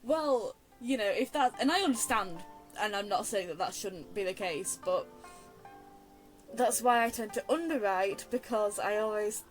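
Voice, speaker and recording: A woman's voice: medium pitch, normal speed, faint music behind, poor audio. A chatty young woman giving casual, digressive, self-deprecating game commentary. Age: young adult. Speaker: game streamer.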